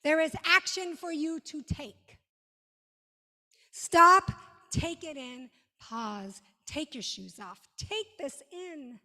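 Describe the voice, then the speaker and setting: Lady rabbi doing a sermon, high voice, real slow and emphatic w big pauses, echoey hall. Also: expressive, sharp tone. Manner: emphatic, dramatic pauses, short repeated phrases. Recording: long reverberant hall.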